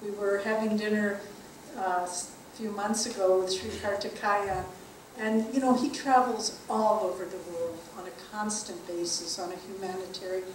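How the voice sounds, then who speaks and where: A woman giving an earnest spiritual talk as a teacher, measured and slowing down to stress key phrases. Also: medium pitch, steady tone, a little hiss or hum. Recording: echoey room from a distant mic.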